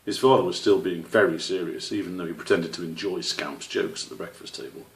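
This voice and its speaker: A man's voice: deep voice, fairly even intonation, brisk, creaky. A man reading a children's story aloud as its narrator. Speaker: author reading own story. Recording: roomy, slightly distant microphone.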